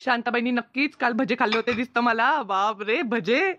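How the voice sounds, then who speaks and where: A woman's voice: fairly high pitch, normal speed, fairly bright tone, no echo, close to an everyday voice. A woman voicing a gossipy, advice-giving village friend in a comedy cartoon, with a rural Maharashtrian Marathi accent. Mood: nosy. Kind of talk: comic cartoon dialogue. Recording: dull, lo-fi dry recording.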